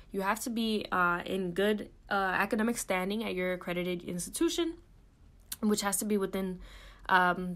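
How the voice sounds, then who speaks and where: A woman's voice: mid-range voice, fairly bright tone. A young woman from Puerto Rico giving a recorded slide presentation as a student presenter, earnest and explanatory, with frequent ums and uhs. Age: young adult.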